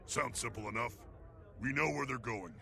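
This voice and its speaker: A hard, battle-eager officer from a video game, gives blunt commands. The voice is medium in pitch, forceful and rough with creak. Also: male voice, moderate pace. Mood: grim, resolute.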